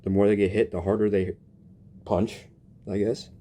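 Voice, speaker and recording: A man giving casual, informal reaction commentary while playing a game, expressive and full of muttered asides. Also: on the low side, normal speed, a little hiss or hum. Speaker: gaming content creator. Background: faint game music.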